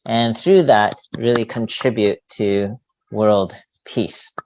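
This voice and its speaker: A middle-aged man from New York, born in Japan, giving earnest, halting opening remarks as a religious leader. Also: lowish voice, steady tone. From born in Japan, grew up in New York. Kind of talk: earnest informal speech to a congregation. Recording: dull, lo-fi sound.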